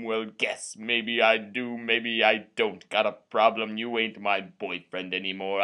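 A man's voice: fairly low pitch, pushed and bright. A young man giving an over-the-top dramatic reading of a letter, emphatic and theatrical, with words drawn out for effect. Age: young adult. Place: indoor room with drop-tile ceiling.

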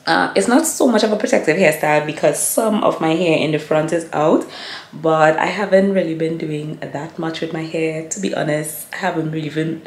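A woman's voice: fairly low pitch, vocal fry. A woman in her thirties chatting casually to the camera as a lifestyle vlogger, talking straight to her viewers. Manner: chatty, addresses viewers as y'all. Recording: echoey room with faint hiss.

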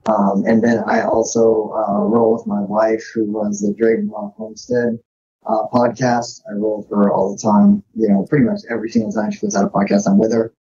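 A man gives a casual, self-deprecating interview in a relaxed way. His voice is mellow and husky with plenty of fry. The recording is a little dull and lo-fi. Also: lowish voice, normal speed. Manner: laid-back, self-deprecating. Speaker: podcast guest, firearms author.

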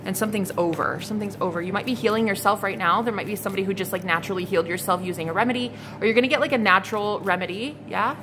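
A woman's voice: mid-range voice, rapid-fire, hardly any pauses, music in the background. A woman in her thirties doing a casual tarot reading to camera, blunt and exasperated, swearing freely and repeating herself. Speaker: psychic tarot reader. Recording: noticeable background noise.

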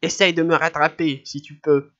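A man's voice: on the high side, little pitch movement, normal speed, pushed and bright. A man voicing a cheeky, playful young boy in a storybook reading, on a dull old recording. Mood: teasing. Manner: boisterous, playful.

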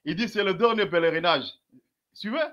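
Sarcastic high-voiced male commentator. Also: a little fast, dull audio, noisy background. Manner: sarcastic, emphatic, repeatedly urging listeners to follow. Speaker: host commenting on a replayed debate. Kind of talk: mocking commentary over replayed clips.

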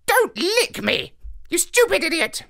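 A man's voice: very high-pitched, sing-song, measured pace, gravelly, bright, forceful tone, voice pushed up, exaggerated voice. A man voicing a haughty, megalomaniac parrot in a squawky screech for a children's story. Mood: scornful. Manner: haughty, orating, self-important. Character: pompous megalomaniac male parrot, screechy and imperious.

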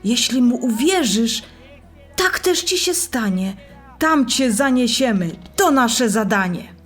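A woman playing an eager, caring friend in a rhymed Bible audio drama for children, encouraging and expressive. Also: a little high, takes it slowly, husky, full character voice. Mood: hopeful, determined.

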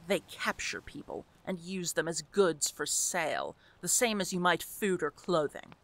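A woman's voice: fairly low pitch, measured pace, gravelly, a bit bright. A woman playing a strong-willed, indignant young seafarer in a fantasy audio drama.

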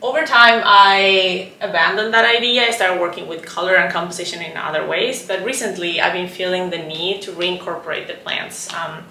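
A woman's voice: on the low side, moderate pace, few pauses, distant mic, slight background noise. A woman in her thirties from Chile, a visual artist speaking thoughtfully in a documentary interview with lots of "like" and "um". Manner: thoughtful, soft-spoken, many "like" and "um" fillers. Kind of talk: documentary interview, reflective talk. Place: roomy painting studio, indoors. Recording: echoey studio room, off-mic.

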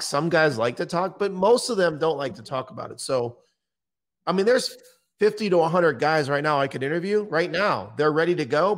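A middle-aged American man talking in a blunt, matter-of-fact way, as a guest in a conversational interview. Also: a little high. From United States. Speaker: podcast guest, true-crime interviewer and author. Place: indoor room, on a video call. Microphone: microphone on boom arm.

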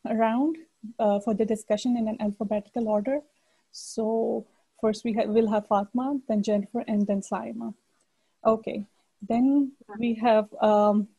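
Husky-voiced woman moderating a panel. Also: a little high, mellow, low-quality recording. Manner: hesitant, many fillers. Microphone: video call.

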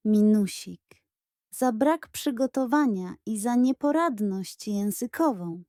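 A woman's voice: fairly high pitch, lively intonation, slow pace, dark tone, dry recording, studio quality. A woman voicing a dignified, strict schoolteacher with a pedantic, correcting manner in a children's story. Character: dignified, strict female Polish teacher, exacting about rules.

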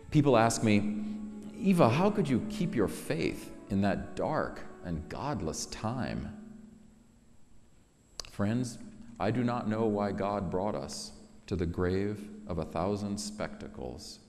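A man in his fifties giving a solemn, measured poetry reading with dramatic pauses, in a large sanctuary. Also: on the low side, animated, darkish tone. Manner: measured, dramatic pauses between short phrases. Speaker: author reading own work. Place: large synagogue sanctuary, indoors. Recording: long reverberant hall, music behind.

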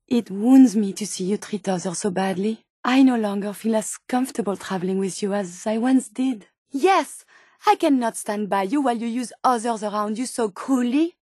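An actress voicing a gentle, earnest female robot scientist turned human in a video game, with a French accent and formal, precise phrasing. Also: fairly high pitch, normal speed, husky, no room sound, studio quality. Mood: hurt, upset. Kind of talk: video game character dialogue.

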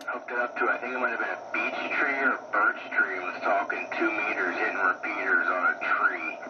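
A man's voice: lowish voice, steady tone, brisk. A man telling stories casually over CB radio. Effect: voice through CB radio. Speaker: CB radio operator. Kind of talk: casual storytelling chat. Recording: muffled, very noisy CB radio.

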